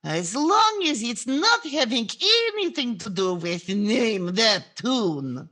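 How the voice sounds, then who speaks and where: Woman acting a high-pitched, dramatic, complaining housewife. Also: slow, exaggerated voice. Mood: droll. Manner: inverted syntax, dramatic deadpan punchlines. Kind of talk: 1940s radio comedy acting. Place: indoors on a video call.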